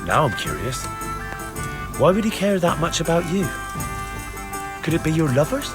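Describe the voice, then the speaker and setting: Young game interrogator, taunting and probing, higher voice over clear music. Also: male voice, very animated, moderate pace, rough recording. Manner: scornful, provoking. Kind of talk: video game cutscene acting.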